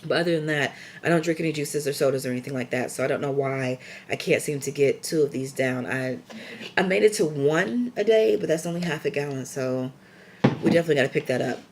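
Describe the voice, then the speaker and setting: A young woman with a scratchy, raspy voice from a lingering cold, talking casually in a rambling vlog update full of ums and asides. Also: deep voice, fast talker, darkish tone. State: recovering from a cold. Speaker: vlogger.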